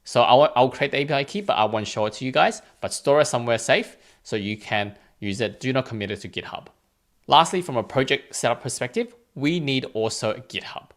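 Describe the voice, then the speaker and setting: A man presents a software tutorial in a plain, explanatory voice of medium pitch. He works through the material step by step. His wording is informal, as a host talking viewers through a screencast. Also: expressive, brisk. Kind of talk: instructional screencast narration, step by step.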